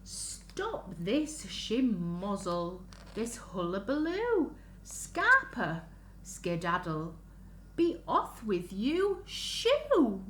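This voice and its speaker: Woman acting loud, indignant scolding grown-up, swooping pitch. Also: huge pitch swings, exaggerated voice. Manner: emphatic, drawn-out chanting. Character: indignant grown-ups scolding a runaway dog. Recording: roomy echo, faint background noise.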